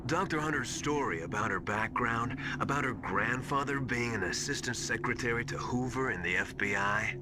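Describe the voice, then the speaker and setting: A man's voice: mid-range voice, animated, moderate pace, few pauses, background noise, close to an everyday voice. A man voicing an earnest, suspicious military adviser in a video game, confiding and emphatic. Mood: confiding, grave. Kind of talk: dramatic video game voice acting.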